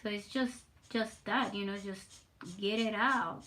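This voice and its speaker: An older woman with a Puerto Rican Spanish accent and a Southern US twang talks slowly to her stream viewers as she draws. She is nervous, stops and repeats words, and keeps her voice soft and mid-pitched. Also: steady tone. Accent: Puerto Rican Spanish mixed with Southern US. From Puerto Rico. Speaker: livestream artist. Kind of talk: casual live stream chat while drawing.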